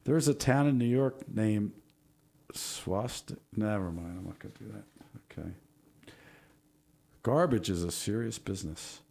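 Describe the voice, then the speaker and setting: A man hosting a show, reading out corny jokes with a casual, jokey manner, laughing at his own puns while losing his voice and clearing his throat. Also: lowish voice, a little mellow. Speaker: show host.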